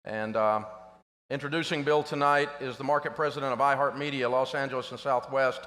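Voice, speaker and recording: A man's voice: medium pitch, fairly even intonation, a little fast, pushed and bright, big-room sound. A man emceeing, introducing the next speaker. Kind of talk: stage introduction.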